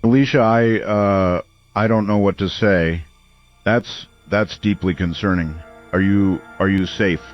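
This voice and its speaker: Actor as a low-voiced, concerned radio host. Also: male voice, fairly even intonation, measured pace, faint music behind, close to an everyday voice. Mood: shaken, concerned. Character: concerned, reassuring male late-night radio call-in host. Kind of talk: radio drama call-in hosting. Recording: dull lo-fi radio sound.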